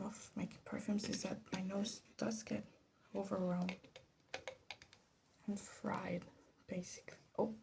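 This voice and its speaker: A soft-spoken woman chatting casually to herself in ASMR style, often slipping into whispers, recorded in a bedroom. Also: mid-range voice, gravelly, noisy background. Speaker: ASMR video creator. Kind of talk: casual ASMR commentary while making perfume.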